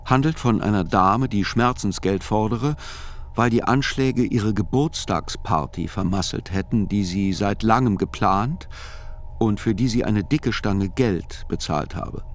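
A man giving scripted first-person literary narration in a radio play, measured and reflective. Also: lowish voice, slight background noise.

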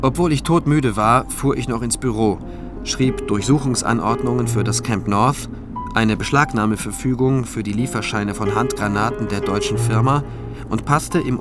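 A man's voice: fairly low pitch, natural voice. Guy acting a tired state prosecutor telling his story, understated radio drama narration, faint music. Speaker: narrating lead actor. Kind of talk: first-person radio drama narration and dialogue.

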